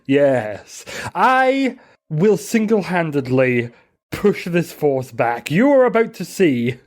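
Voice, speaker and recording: A man voicing a boastful, simple-minded, fearless warrior in a tabletop game, speaking in grandiose, deliberate declarations. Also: fairly high pitch, sing-song. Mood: proud, solemn.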